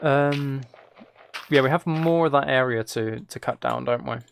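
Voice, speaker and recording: A man's voice: medium pitch, moderate pace, vocal fry, a little hiss or hum. A man chatting casually as he streams a game, rambling along in a self-deprecating way. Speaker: live streamer. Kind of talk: casual gaming livestream chat, commentary.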